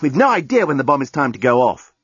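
A man's voice: medium pitch, very animated, fast, husky, dry recording, muffled recording. A man voicing an impatient, injured male alien journalist in an audiobook, terse, snapping and sarcastic. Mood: strained.

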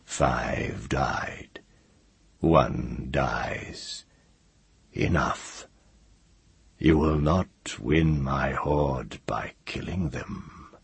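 An immense old dragon from a fantasy storybook speaks through a male reader in a rock-bottom, dry voice. The delivery is slow and hushed, thick with breath and husk, with a sly, taunting patience. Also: very deep voice, gravelly, slightly rough, no echo, voice pushed down, heavily acted. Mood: grim, cold. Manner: slow, quiet, deliberate. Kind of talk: audiobook fantasy character voice.

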